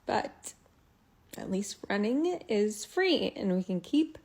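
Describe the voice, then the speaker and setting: Slow, hesitant woman vlogging. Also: mid-range voice, sing-song, darkish tone. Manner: subdued, hesitant with many ums. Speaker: runner laid off that day. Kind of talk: personal vlog.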